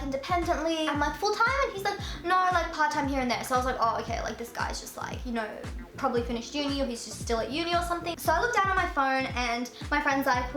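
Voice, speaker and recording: A young woman from Russia telling a storytime in casual chat, full of "like" and lively quoted voices. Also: echoey room. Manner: chatty, fills speech with "like", jumps high for quoted voices. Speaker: vlogger.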